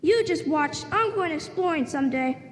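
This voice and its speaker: A woman's voice: sits high, expressive, moderate pace. A woman playing a young, eager and loyal small boy with a cocky streak in a live radio play. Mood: eager, boastful. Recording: echoey reverberant hall, faint noise.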